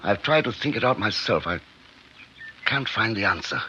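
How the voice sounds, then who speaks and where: A man playing a troubled surgeon in a 1950s radio drama, speaking in a halting, grave and uneasy way. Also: fairly low pitch, animated, sharp tone. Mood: anxious, urgent. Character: troubled surgeon confessing a secret experiment to a friend. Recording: noisy band-limited 1950s radio recording.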